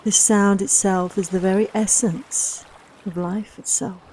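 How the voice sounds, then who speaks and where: A woman leading a guided relaxation meditation in a soft, soothing, unhurried, reassuring manner, with long pauses between phrases. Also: medium pitch, steady tone, a little hiss or hum, poor audio. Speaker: guided meditation and yoga nidra teacher.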